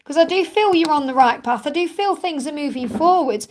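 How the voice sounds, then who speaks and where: A woman with a croaky, tired voice hosting a live card-reading stream, soothing and reassuring, repeating her phrases. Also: high-pitched, lively intonation, brisk, a little hiss or hum. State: croaky, tired voice from much talking. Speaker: tarot card reader and live-stream host.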